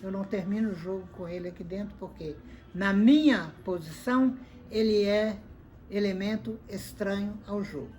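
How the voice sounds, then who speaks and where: A woman's voice: mid-range voice, moderate pace, slight background noise. Older Brazilian woman telling stories. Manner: animated, acts out both sides of quoted exchanges. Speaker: interviewee, former football referee. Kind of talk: conversational storytelling.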